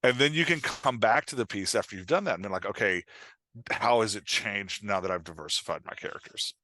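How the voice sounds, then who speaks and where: A man talking as a coach and podcast guest, explaining things in an informal, animated way with acted-out example remarks. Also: fairly low pitch, brisk, no echo. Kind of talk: casual explanatory podcast interview.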